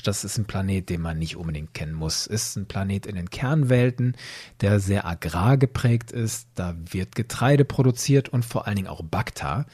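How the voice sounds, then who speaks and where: A man narrates as a podcast host, explaining from a script. His voice is dark and husky, with animated rises and falls. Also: lowish voice.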